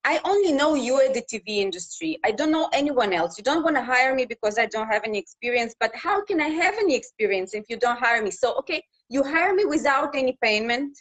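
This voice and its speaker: A woman in her thirties, a news reporter from Russia, speaking English as a second language with a Russian accent, talking in a halting way with many fillers and restarts over a video call. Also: on the high side, lively intonation, fairly bright tone. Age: 30s. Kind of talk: casual interview conversation.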